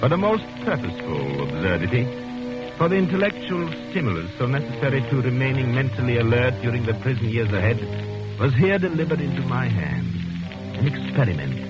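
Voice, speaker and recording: A man's voice: mid-range voice, very animated, brisk, over loud music, lightly acted. An actor playing a cold, calculating male convict who torments his cellmate, in old radio drama style from a dull, dated recording. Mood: gloating narration. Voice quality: smooth, precise, sinister. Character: cold, scheming male convict, cruelly intellectual. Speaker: prisoner narrating his scheme. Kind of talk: 1940s radio drama acting.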